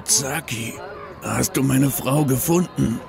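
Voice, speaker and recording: An actor playing a gruff, suspicious male troll searching for his missing wife in a German fan audio drama. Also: medium pitch, slow pace, over music. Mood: alarmed, urgent. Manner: brusque, distrustful of humans.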